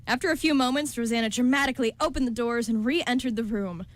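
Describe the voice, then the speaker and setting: Higher-voiced woman narrating a story. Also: fast talker, no room sound. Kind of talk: scripted story narration for radio.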